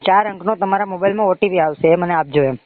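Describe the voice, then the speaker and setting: A man's voice: high voice, fast, no echo. A man with a Gujarati accent speaking as a loan agent on a recorded phone call, insistent, pushy and repetitive. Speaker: phone caller claiming to be a bank loan agent. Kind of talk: phone sales conversation. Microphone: phone line. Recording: muffled narrow-band phone call recording.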